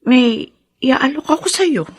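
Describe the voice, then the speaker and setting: A woman playing a lonely, bereaved woman in a Filipino radio drama, speaking softly and haltingly with an earnest, husky voice. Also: high voice, animated, no echo, clean studio sound, exaggerated voice. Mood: hesitant, tender. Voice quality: husky, creaky. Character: wistful lonely bereaved woman, gently hesitant.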